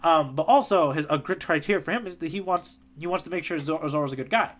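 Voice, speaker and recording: Male podcast co-host, bright, slightly high voice, animated, filler-heavy casual chat. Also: quick pace. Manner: animated, laughs often, many fillers. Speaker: podcast co-host, tour guide.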